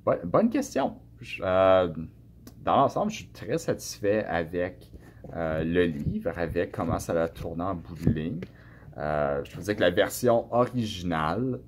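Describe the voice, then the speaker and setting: A man from Canada with a Canadian French accent, answering students' questions about his book in a relaxed, hesitant way with many fillers and restarts. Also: deep voice, a little hiss or hum. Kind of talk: casual spoken answers to a class.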